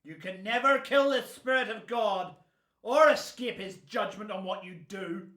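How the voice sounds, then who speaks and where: An actor playing a fearless, righteous prophet in a biblical radio drama, declaiming like a preacher. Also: male voice, high-pitched, measured pace, sharp tone, echoey room. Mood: defiant.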